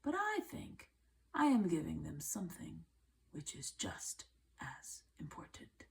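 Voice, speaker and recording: A woman voicing an earnest, humble older male farmer who loves nature, speaking deliberately in a storybook read-aloud. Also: on the low side, huge pitch swings, creaky, a little mellow, whispered, heavily acted. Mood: quietly proud.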